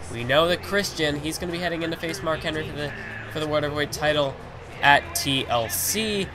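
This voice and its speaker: A man with a hoarse, fading voice doing rambling play-by-play commentary on a wrestling video game, with many filler phrases. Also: on the high side. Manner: rambling, heavy on fillers like "you know". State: hoarse, losing his voice.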